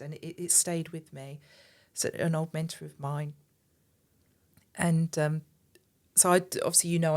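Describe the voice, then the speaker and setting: A woman's voice: low voice, a little mellow. A woman guest on a casual podcast conversation, talking earnestly and emphatically, often repeating words as she starts a thought. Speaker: podcast guest, marketing agency director.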